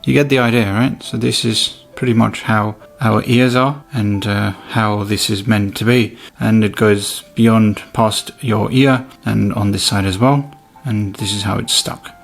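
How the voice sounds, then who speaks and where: A man's voice: on the low side, fairly even intonation, unhurried, vocal fry, few pauses, soft background music. A man doing a casual, hands-on product review, thinking aloud informally as he demonstrates. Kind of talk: casual unboxing and review commentary.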